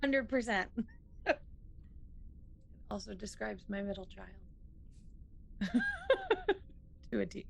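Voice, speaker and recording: A woman's voice: mid-range voice, slow, vocal fry, long pauses, slight background noise. An American woman talking casually and conversationally as a player in a tabletop game. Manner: informal, conversational. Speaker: player and show crew member. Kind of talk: casual chat.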